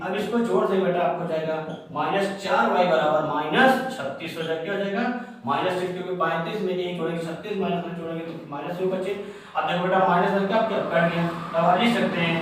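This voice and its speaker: A man's voice: high-pitched, distant mic, a little hiss or hum. Man teaching math in an echoey room. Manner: repeats each step as a question to pupils, calls them beta. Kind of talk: math lesson at a board.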